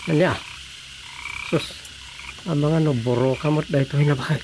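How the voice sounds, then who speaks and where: An actress playing a bumbling, bewildered frog catcher, colloquial and exclamatory, in a Filipino comedy radio drama. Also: female voice, sits low, steady tone, moderate pace, a little mellow, a little hiss or hum, lightly acted. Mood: alarmed.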